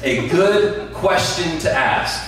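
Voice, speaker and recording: A man preaching a sermon to a live audience in a loud, conversational voice, often checking that listeners follow. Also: a little high, expressive, takes it slowly, a crowd in the background. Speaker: guest preacher. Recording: very echoey room, distant mic, faint ambience.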